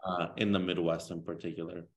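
A man in his late twenties from Kansas talks as a podcast guest in a very low voice. He is earnest and thoughtful, often restarting his sentences as he searches for words. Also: little pitch movement, a little fast, vocal fry. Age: 20s. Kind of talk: casual podcast interview.

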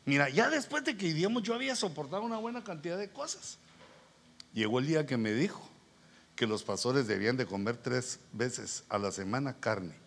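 A man's voice: mid-range voice, sing-song, moderate pace, gravelly, fairly bright tone. A middle-aged man with a Guatemalan accent preaching as a pastor in an informal, colloquial storytelling style, acting out bits of dialogue. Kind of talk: conversational sermon with anecdotes.

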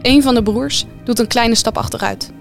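A woman's voice: on the high side, normal speed, creaky. A woman delivering dramatic scripted narration as the storyteller of a radio play. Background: music.

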